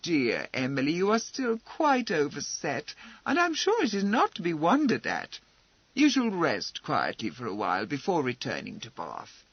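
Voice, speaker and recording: A man voicing a brisk, commanding young aristocratic lady, high and emphatic. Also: wildly swooping pitch, slightly rough, no echo, muffled recording, heavily acted. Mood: gracious. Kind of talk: audiobook character voice.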